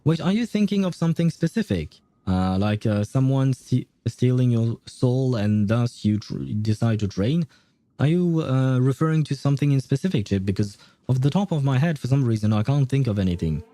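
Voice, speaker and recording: A man's voice: mid-range voice, expressive, moderate pace, dark tone. A man hosting a livestream, chatting casually in a rambling way and often losing his train of thought. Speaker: livestream host. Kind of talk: casual game stream chat.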